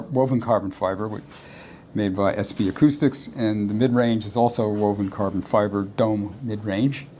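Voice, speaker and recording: A man's voice: on the low side, darkish tone. A man who makes audio equipment answering interview questions off the cuff, hesitant and matter-of-fact. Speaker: audio system maker. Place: indoor exhibit room at audio show. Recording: faint show room noise.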